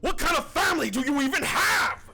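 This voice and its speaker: A man voicing a suspicious, hot-tempered young echidna guardian with confrontational, skeptical delivery in an animated fan dub. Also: very high voice, brisk, creaky, full character voice. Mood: accusing, angry.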